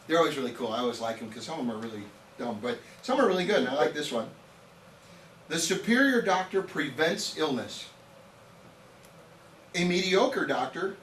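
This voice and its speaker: A man's voice: a little high, a bit bright. Man about fifty, storytelling lecturer, rhetorical questions, reverberant room, faint room hum. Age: around 50. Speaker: nutrition trainer. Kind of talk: informal lecture to a live audience. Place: training room with an audience. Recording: echoey room, voice picked up from a distance.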